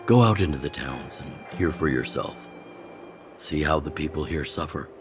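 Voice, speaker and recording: An older man voicing a gruff, weathered underground resistance chief in a video game, with measured, weighty delivery. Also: expressive, over music. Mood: grave, measured. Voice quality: deep, gravelly. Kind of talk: scripted video game dialogue.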